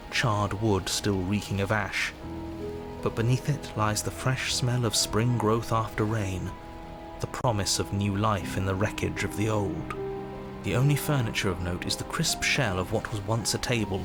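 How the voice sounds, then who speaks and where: An actor playing a measured narrator of a grim fairy tale horror game, telling it in formal, solemn prose. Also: male voice, fairly low pitch, steady tone, natural voice. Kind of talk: scripted dramatic narration. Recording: music under the voice. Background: background music.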